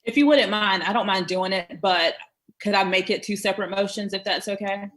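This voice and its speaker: A woman's voice: medium pitch, fairly quick, a bit bright. A woman serving as a commission member, deliberating and stating motions in a formal meeting.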